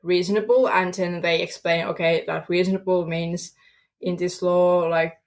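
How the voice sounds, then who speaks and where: A woman's voice: on the low side, level tone, normal speed. A young woman from Finland speaking English with a Finnish accent as a podcast guest, searching for words with many fillers. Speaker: guest student. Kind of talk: podcast interview.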